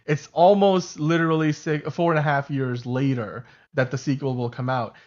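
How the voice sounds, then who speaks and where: A man's voice: fairly high pitch, fairly even intonation, moderate pace, darkish tone, muffled recording. A man whose first language is Urdu, co-hosting a casual livestream chat, talking in a chatty way, often correcting himself and laughing. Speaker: podcast co-host.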